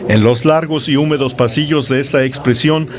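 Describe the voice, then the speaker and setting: A man gives a measured, scripted news narration. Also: mid-range voice, fairly even intonation, crowd noise. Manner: measured, formal delivery. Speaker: news reporter.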